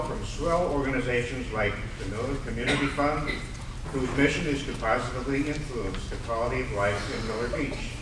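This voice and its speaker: A genial old-time radio announcer played by a man delivers a scripted sponsor pitch in a medium-pitched voice. His phrasing is folksy and theatrical, heard from far off in a big reverberant hall. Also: close to an everyday voice. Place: live stage in an arts center. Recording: noisy, very echoey theater, far mic.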